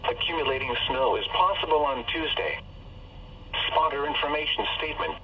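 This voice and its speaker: A man with an American accent reads a scripted weather bulletin as a radio announcer, in a formal, measured, synthetic-sounding delivery. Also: a little high, animated, distant mic. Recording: muffled, noisy weather radio broadcast with hum.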